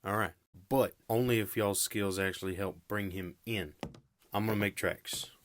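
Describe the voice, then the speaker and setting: Male actor as a blunt local with a drawl, low-pitched. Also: steady tone, moderate pace, a bit bright, a little hiss or hum, lightly acted. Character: local Western man, blunt, defensive. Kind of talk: audio drama acting.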